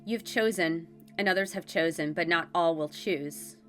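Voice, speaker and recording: Solemn angelic guidance, read aloud by a woman with a stuffy head cold. The voice sits low and stays measured, as though read from a page. Faint music plays behind. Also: a bit bright, close to an everyday voice.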